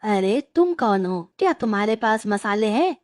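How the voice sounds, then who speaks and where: A woman's voice: medium pitch, animated, moderate pace, darkish tone, no room sound, studio quality, close to an everyday voice. A woman voicing a caring, helpful male crow in a cartoon moral story. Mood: eager. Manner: earnest, helpful.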